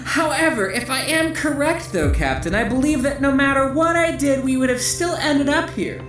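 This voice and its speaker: Woman doing a fussy robot sidekick, high voice, rambles politely, sci-fi audio play, roomy echo. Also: animated, normal speed, fairly bright tone, faint music behind. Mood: brightly upbeat. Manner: rambling, over-polite, pedantic. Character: chatty, fretful, evasive droid sidekick. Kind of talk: sci-fi audio drama acting.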